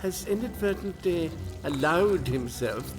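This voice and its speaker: A man playing an officious demon underling in a radio drama, speaking in a halting, fussy, stiffly formal manner. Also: fairly high pitch, lively intonation, over music. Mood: nervous, formal.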